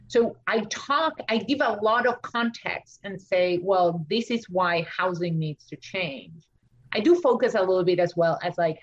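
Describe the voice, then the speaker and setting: A woman's voice: fairly low pitch, lo-fi sound. A woman from Argentina with an Argentine accent, speaking English as a second language, answering interview questions about her architecture work in a chatty way, full of fillers and restarts. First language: Spanish. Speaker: architect and author, podcast guest. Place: Washington DC area.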